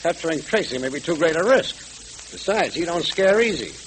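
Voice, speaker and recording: An actor in a vintage radio serial playing a blustering, scheming gang boss with tough, emphatic gangster talk. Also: male voice, fairly high pitch, animated, brisk, fairly bright tone. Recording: crackly old radio recording.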